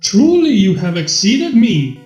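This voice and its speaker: A man's voice: sits high, wildly swooping pitch, a little slow, darkish tone, roomy sound, full character voice. A man voicing a theatrical, honourable robot villain in a fan story, declaiming pompously in archaic, grandiose speech. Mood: gracious in defeat. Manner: pompous, declamatory Shakespearean delivery. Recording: dull lo-fi with background music.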